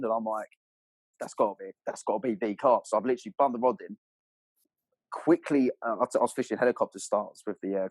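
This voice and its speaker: A man's voice: on the low side, darkish tone, no room sound, rough recording. A man telling stories casually as a podcast guest, in a matey, slangy way with plenty of swearing. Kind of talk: casual animated storytelling.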